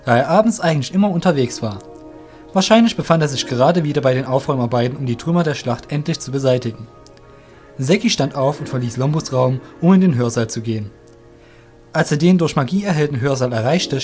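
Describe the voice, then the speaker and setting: A man's voice: medium pitch, darkish tone. A man reading scripted narration for a fantasy audio drama as its storyteller.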